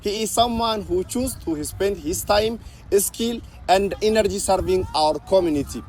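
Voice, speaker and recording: A man's voice: high-pitched, a little mellow. Formal male interview host outdoors. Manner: formal scripted welcome, halting questions with fillers. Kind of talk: formal introduction, then interview questions.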